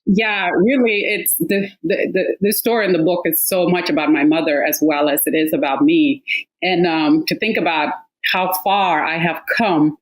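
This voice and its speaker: A woman's voice: fairly low pitch, fairly even intonation, normal speed, rough recording. A woman in her thirties from South Sudan, a guest author telling her story thoughtfully, with some hesitation and emotion. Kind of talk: conversational interview.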